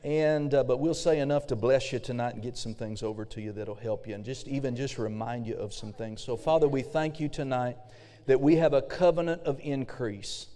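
A middle-aged male pastor preaching a fervent sermon with frequent amens, his voice slightly hoarse and a little raspy. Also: mid-range voice, a little fast, warm tone. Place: church sanctuary. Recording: long hall reverb.

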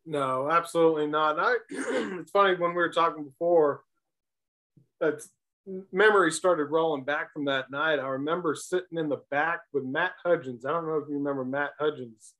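A man chats as a guest on a sports podcast. His pitch is a touch above average for a man, and he tells his stories in loose, informal, conversational phrases. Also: moderate pace, fairly bright tone, low-quality recording. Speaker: podcast guest, former baseball player. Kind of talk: casual storytelling.

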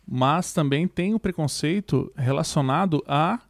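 A man's voice: a little high, a little slow, creaky, no room sound, clean studio sound. A man co-hosting a podcast, chatting casually in a laid-back, slangy way. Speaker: podcast co-host.

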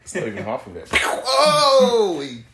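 A man's voice: high-pitched, wildly swooping pitch, a little slow, vocal fry, roomy sound, a crowd in the background. A man hosting a podcast from England, joking and teasing his guest in casual chat, often laughing. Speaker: podcast host.

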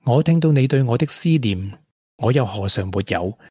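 Man acting caring down-to-earth young hong kong boyfriend, radio play, slightly husky, dry studio sound. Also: medium pitch, lively intonation, normal speed, darkish tone, no echo. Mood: reading poems solemnly. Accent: Hong Kong Cantonese. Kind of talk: Cantonese radio drama acting.

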